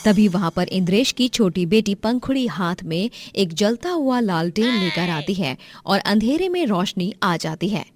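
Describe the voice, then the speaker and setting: A woman's voice: medium pitch, expressive, a little slow, few pauses, faint music behind. A woman reading scripted narration for a moral story as its storyteller.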